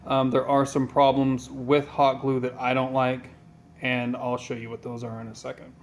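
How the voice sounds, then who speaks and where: A man talks through a hobby how-to in a relaxed, unhurried way. His voice is plain and even, sitting at an ordinary middle pitch, and he wanders from point to point with frequent ums. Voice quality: flat, even voice. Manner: plain, low-key and meandering, with many ums. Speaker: hobby tutorial maker. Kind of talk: casual how-to tutorial.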